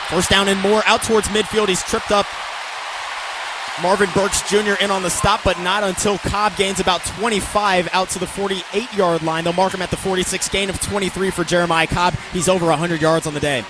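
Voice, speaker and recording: An American man calling football play-by-play for radio in clipped, descriptive announcer phrasing. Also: high-pitched. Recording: loud stadium and outdoor ambient noise.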